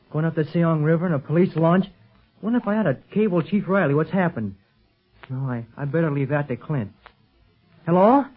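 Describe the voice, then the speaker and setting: A man's voice: on the high side, breathy edge. An actor playing an eager, earnest boy agent who talks in youthful slang in a 1930s radio serial. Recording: tinny 1930s radio recording.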